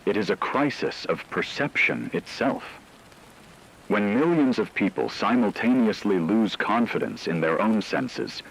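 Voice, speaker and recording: A man playing a grave radio news anchor, reading scripted catastrophe news in a solemn, measured, formal way with a husky voice. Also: low-pitched, fairly bright tone, full character voice, poor audio. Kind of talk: scripted dramatic news narration.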